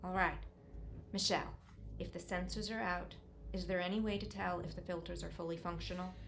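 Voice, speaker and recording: A woman playing an anxious, methodical survivor in a bunker for an audio drama, with a deliberate way of speaking. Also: fairly low pitch, fairly even intonation, a little hiss or hum, close to an everyday voice. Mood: worried.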